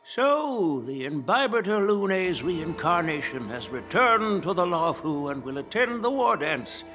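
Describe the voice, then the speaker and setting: Casting: easygoing old general, slow and unhurried, pitch swinging widely, music behind. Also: male voice, heavily acted. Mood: genial. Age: older. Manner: relaxed, chuckling, avoids taking sides. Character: genial elderly male general, easygoing grandfatherly. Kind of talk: game cutscene voice acting. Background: background music.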